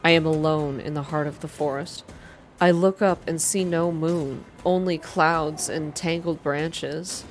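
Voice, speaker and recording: A woman's voice: low voice, fairly even intonation, unhurried, faint music behind. A woman hosting a reading channel, speaking in an ASMR style for her listeners. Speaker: video game reader and channel host. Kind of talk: ASMR narrated reading and casual updates to viewers.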